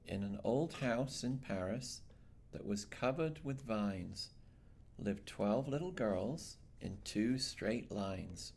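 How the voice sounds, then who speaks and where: A man reading a children's picture book aloud in an expressive, measured storytelling style with dramatic phrasing. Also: fairly low pitch, gravelly. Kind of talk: expressive bedtime read-aloud narration.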